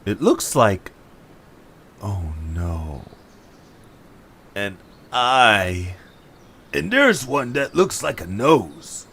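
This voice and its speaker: A man's voice: medium pitch, very animated, drawn-out words, vocal fry, long pauses, background noise, exaggerated voice. A man voicing an inquisitive, wary little creature on an adventure in an audio drama. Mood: hesitant.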